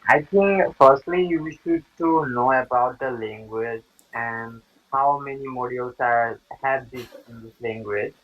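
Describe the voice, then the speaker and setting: A young man from Bangladesh, a native Bangla speaker, answering practice test questions in halting English with frequent pauses and fillers. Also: medium pitch, phone-quality sound. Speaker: test candidate.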